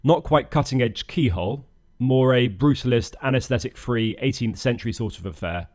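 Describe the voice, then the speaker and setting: A man's voice: mid-range voice, animated, normal speed, darkish tone. Dry-witted man narrating. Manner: dry, self-mocking wit. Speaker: channel host narrating a boat build. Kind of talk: scripted voice-over narration.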